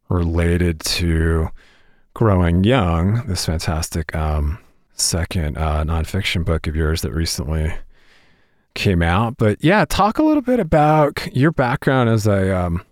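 A man's voice: low voice, no echo, studio quality. A man hosting an interview podcast, asking long, rambling, enthusiastic questions with many fillers. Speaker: podcast host.

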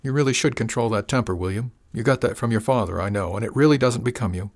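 A man's voice: mid-range voice, quick pace, lightly acted. A man voicing a falsely friendly male gang boss who drawls with oily, mock-wounded charm. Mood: smarmy menacing.

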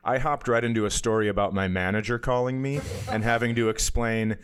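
A man's voice: fairly low pitch, fairly even intonation, brisk. A middle-aged American man co-hosting a comedy podcast in casual, joking banter with profane, wry humor.

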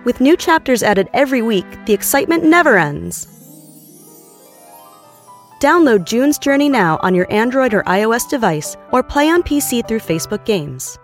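A woman performs a dramatic, scripted advertising voice-over. Her voice is fairly high and animated, with faint music underneath. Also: normal speed. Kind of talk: scripted game commercial, dramatic.

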